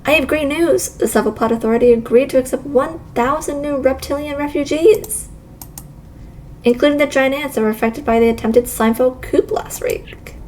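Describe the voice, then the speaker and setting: A woman's voice: high-pitched, normal speed, a little mellow, slight background noise, natural voice. A woman voicing an earnest reptilian resistance leader, formally addressing a meeting in a game read-aloud. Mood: proud, announcing.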